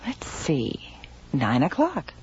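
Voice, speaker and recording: A woman's voice: low voice, huge pitch swings, takes it slowly, noisy background, exaggerated voice. A woman playing a composed, friendly doctor in charge of a space station, informal but in command, in a radio drama. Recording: dull radio recording with music behind.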